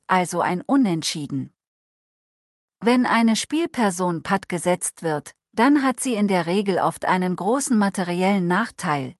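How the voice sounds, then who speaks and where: A woman's voice: medium pitch, no room sound, studio quality, close to an everyday voice. A woman reading the part of an outspoken Swiss man whose native tongue is Swiss German, in an earnest, blunt and polemical manner with long formal sentences. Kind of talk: reading a transcribed conversation aloud.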